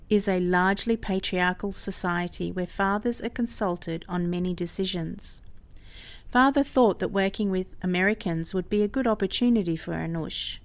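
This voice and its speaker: A woman reading a scripted mission story aloud as a storyteller. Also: medium pitch. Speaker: story reader.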